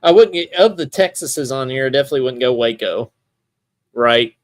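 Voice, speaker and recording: A man hosts a relaxed, conversational sports podcast. His voice sits at a middling pitch. He rambles and thinks out loud, and the sound is dry with little room in it. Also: brisk, no echo. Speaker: podcast host. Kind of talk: casual sports chat.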